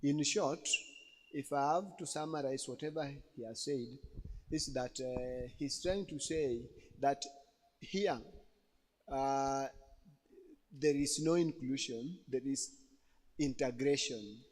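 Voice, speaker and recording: A man moderating a church program, speaking slowly with many fillers and asking the audience questions. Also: medium pitch, darkish tone. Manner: slow, halting, many fillers. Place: church hall. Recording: long hall reverb.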